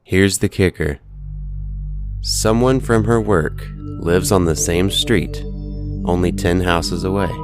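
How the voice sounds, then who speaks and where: A man with a low-pitched voice narrates scripted ghost stories. His delivery is measured and deliberate, with pauses between phrases. Music plays clearly behind the voice.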